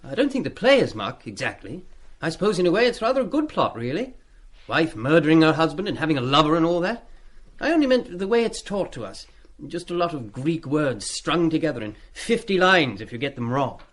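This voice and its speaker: Radio play schoolboy, mid-teens, British: lightish high voice, very lively intonation, eager, polite, indiscreet. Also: male voice, brisk. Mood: aggrieved. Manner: chatty, deferential with schoolboy slang.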